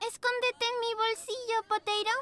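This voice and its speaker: A woman's voice: extremely high pitch, flat delivery, moderate pace. A woman voicing a sweet, eager little girl pug puppy in a children's cartoon dub. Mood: sweet, confiding. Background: music.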